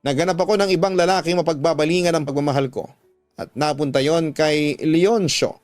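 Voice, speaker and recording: A man's voice: a little high, steady tone, brisk, darkish tone, dry recording. A man giving emotive, sorrowful scripted first-person narration for a radio drama. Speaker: radio drama narrator reading a letter sender's story. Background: faint background music.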